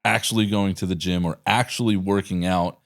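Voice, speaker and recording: A man's voice: low-pitched, fairly even intonation, fairly quick. A man in his thirties hosting a podcast, chatting casually in a rambling way and cursing freely. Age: 30s. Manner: rambling, swears freely, acts out quotes.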